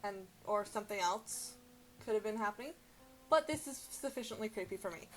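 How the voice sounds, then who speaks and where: A young woman co-hosting a casual chat, reacting to stories in an animated, exclamatory, informal way. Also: medium pitch, brisk, soft background music, rough recording. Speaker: podcast co-host.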